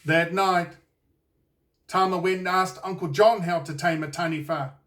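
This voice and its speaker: A man with a New Zealand accent reading a children's storybook aloud in a deliberate, expressive storytelling way. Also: high-pitched, recorded from a distance. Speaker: children's author reading his own book. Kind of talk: scripted storybook narration for children.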